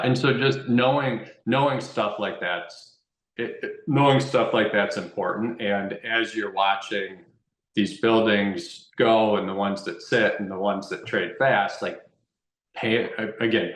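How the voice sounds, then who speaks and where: A man's voice: lowish voice, fairly bright tone. A man explaining things informally as a guest expert, repeating phrases for emphasis. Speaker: guest real estate investment expert. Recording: roomy, echoey sound.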